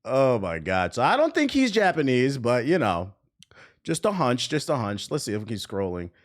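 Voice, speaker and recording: A man's voice: mid-range voice, huge pitch swings, moderate pace. A young man livestreaming, joking loosely through reaction commentary and muttering asides under his breath. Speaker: streamer. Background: faint background music.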